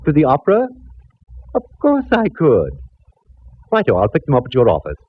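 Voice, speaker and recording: An actor playing a fussy, genteel middle-aged art dealer in a 1950s radio drama, speaking in a refined, mannered way. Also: male voice, medium pitch, huge pitch swings, normal speed, slightly rough. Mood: chatty. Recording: dull old radio broadcast.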